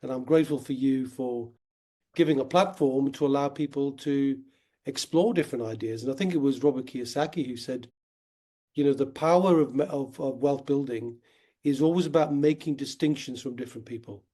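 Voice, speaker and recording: A man being interviewed as a guest, explaining in an earnest, steady way, his voice slightly croaky from a cold. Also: medium pitch, normal speed. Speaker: guest, wealth company founder. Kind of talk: conversational interview.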